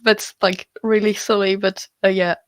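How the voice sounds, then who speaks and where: A woman's voice: mid-range voice, flat delivery, moderate pace, no room sound. A young woman who is not a native English speaker, answering questions hesitantly on a video call, with many fillers and restarts. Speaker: student poet answering questions.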